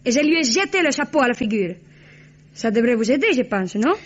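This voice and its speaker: An actress playing a flamboyant, dramatic Argentine cabaret singer who is quick to anger, in an old radio drama. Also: female voice, a little high, very animated. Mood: fiery. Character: fiery, theatrical Argentine cabaret singer, female. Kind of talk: 1950s radio drama acting. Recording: dull old radio broadcast.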